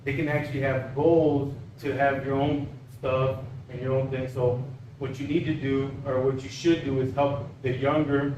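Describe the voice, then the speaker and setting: A man's voice: mid-range voice, level tone, moderate pace, darkish tone, recorded from a distance, a little hiss or hum. Cuban-born man in his forties, roomy sound. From Cuba. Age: 40s. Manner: encouraging, repeats words while searching for them. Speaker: podcast host. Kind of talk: casual interview chat.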